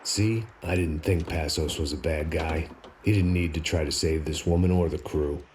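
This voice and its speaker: An actor playing a jaded, hard-drinking middle-aged American action hero, narrating in a deadpan, sardonic noir style. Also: male voice, very deep voice, moderate pace, a little hiss or hum. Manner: world-weary, deadpan, sardonic.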